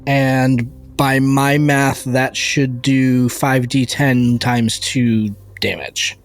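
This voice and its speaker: A man's voice: medium pitch, flat delivery, drawn-out words, soft background music. A man talking casually as a player in a tabletop game, working through his moves and rules.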